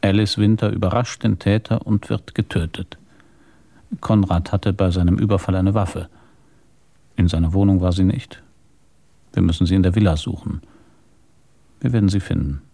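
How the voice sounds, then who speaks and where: An actor playing a calm, methodical police inspector who reasons matter-of-factly in a German radio crime drama. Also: male voice, sits low, moderate pace, vocal fry, long pauses. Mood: explaining, assured.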